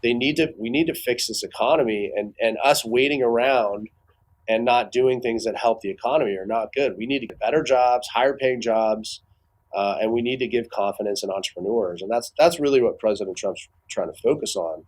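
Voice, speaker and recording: Halting male guest with a mid-range voice. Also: mid-range voice, low-quality recording. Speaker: business owner giving expert commentary as guest. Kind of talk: casual interview commentary.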